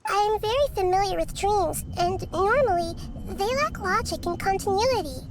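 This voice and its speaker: A woman voicing a wise, composed child deity in a video game, gently curious and explaining things thoughtfully and articulately. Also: very high-pitched, lively intonation, moderate pace, slight background noise. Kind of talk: voice-acted game story dialogue.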